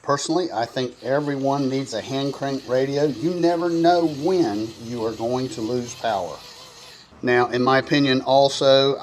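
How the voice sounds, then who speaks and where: A man's voice: mid-range voice, slight background noise. A man giving a casual, folksy and enthusiastic product review to camera.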